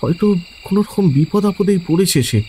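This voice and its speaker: Man playing a high-voiced, anxious schoolteacher. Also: animated, brisk, dark tone, slight background noise. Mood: grave worry. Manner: anxious, earnest. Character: worried, responsible male teacher guarding schoolboys on a trip. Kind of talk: audio story acting.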